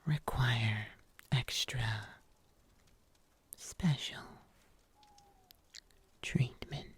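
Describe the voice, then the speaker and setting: A very breathy, whispered voice of a man plays a kindly huntsman who heals people in the woods. He speaks extremely slowly and carefully, pausing often. His manner is gentle and solicitous, in an intimate ASMR roleplay style. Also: medium pitch, heavily acted. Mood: soft concern. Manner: slow, hesitant, careful delivery with long pauses. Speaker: ASMR performer.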